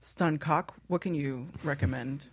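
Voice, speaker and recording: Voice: mid-range voice, measured pace, gravelly. A co-host in a casual podcast chat, giggly, leading the wrap-up.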